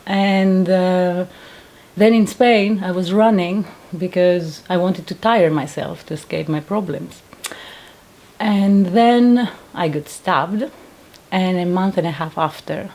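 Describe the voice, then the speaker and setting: Low gravelly Greek-accented woman. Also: slow, darkish tone. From Greece. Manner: earnest, searching for words with many restarts. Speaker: painter and survivor giving testimony. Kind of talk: personal testimony interview.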